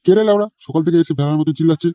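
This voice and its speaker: A man voicing a gruff, teasing, grumbling village fellow in a comedy cartoon. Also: on the high side, racing, dark tone, dry recording, natural voice. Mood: mocking annoyance. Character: gruff, mocking village petrol pump guy and club mate.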